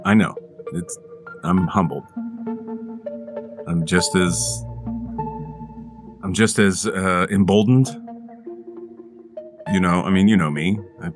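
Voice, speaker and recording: A man playing a sincere, self-doubting adventurer in a tabletop game, speaking haltingly and earnestly. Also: low-pitched, music in the background. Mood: earnest, hesitant. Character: sincere, self-doubting male adventurer, loyal brother-in-arms.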